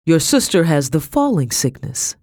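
A woman voicing a grave man, the firm master of a household, with measured authority in an audiobook story. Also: deep voice, sing-song, darkish tone, no echo, studio quality, exaggerated voice.

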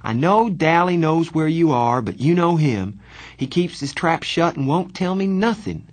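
A man's voice: on the high side, expressive, a little slow, pitched above the performer's own voice. A man voicing a worried, affectionate teenage brother in an audiobook, earnest and plain-spoken with folksy slang. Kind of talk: audiobook reading of a letter.

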